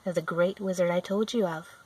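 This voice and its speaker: A woman voicing a kindly, solemn little old good witch in a storybook, explaining things gently. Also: lowish voice, fairly even intonation, normal speed, distant mic, natural voice, low-quality recording.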